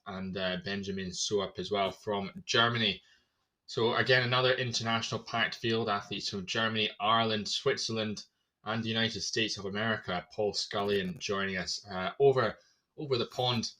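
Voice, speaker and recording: A man giving live play-by-play commentary on a virtual rowing race, energetic and talking through it with fillers. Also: lowish voice.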